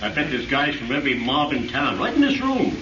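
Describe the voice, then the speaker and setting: A man's voice: mid-range voice, sing-song, fairly quick, muffled recording, a crowd in the background, lightly acted. An actor playing a wisecracking, slangy tough-guy mobster in a 1930s radio drama. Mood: wry. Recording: echoey, noisy 1930s radio recording.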